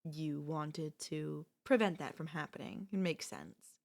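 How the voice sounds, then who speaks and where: A woman voicing an obsessive yandere sister-in-law in an ASMR audio roleplay, sweetly menacing, coaxing and chatty, calmly unapologetic. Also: low voice, a little slow, vocal fry. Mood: calmly confessional.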